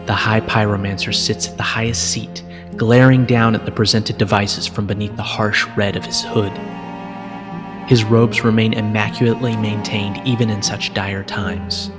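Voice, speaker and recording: Low-voiced male narrator over music. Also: little pitch movement. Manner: solemn, measured storytelling. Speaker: narrator reading fan fiction. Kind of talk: scripted dramatic narration.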